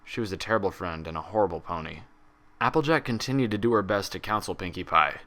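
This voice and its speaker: Low-pitched man reading a dramatic story. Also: quick pace, bright, forceful tone. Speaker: fan fiction reader. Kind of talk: dramatic scripted story narration.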